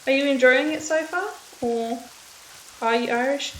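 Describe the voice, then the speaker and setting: A woman streamer from the UK, raised partly in Australia, chats with viewers in a nervous, rambling way. Her voice sits a little high. The room is roomy, and a faint noise runs underneath. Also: normal speed. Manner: nervous, rambling, self-conscious. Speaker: game streamer. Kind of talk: casual chat with viewers while playing.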